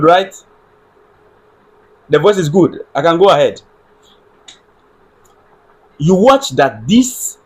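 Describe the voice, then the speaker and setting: A Ghanaian man hosts a solo live stream, talking through politics earnestly and circling back over his points. His voice is husky and sits a little high for a man. He stops now and then to ask viewers whether his sound is coming through. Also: poor audio. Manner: earnest, repeats phrases, checks on his audio with viewers. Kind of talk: solo livestream political commentary.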